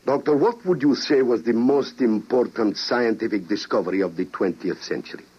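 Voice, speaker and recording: An actor playing a grave, persuasive president with a solemn, deliberate delivery in an old radio drama. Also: male voice, medium pitch, husky, close to an everyday voice. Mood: solemn, insistent. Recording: muffled vintage radio drama recording.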